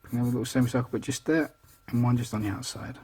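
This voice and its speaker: A man's voice: mid-range voice, fairly even intonation, very fast, darkish tone, slightly rough. A man, chatty and self-deprecating, talking through each step of a drawing tutorial. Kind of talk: casual real-time drawing tutorial. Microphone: voice over the drawing, not seen speaking.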